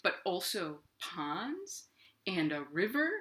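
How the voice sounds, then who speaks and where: A woman's voice: lowish voice, very animated, slow pace, a bit bright, full character voice. A woman voicing a friendly, chatty narwhal puppet in a children's puppet show, proud of its horn. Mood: cheerful, informative.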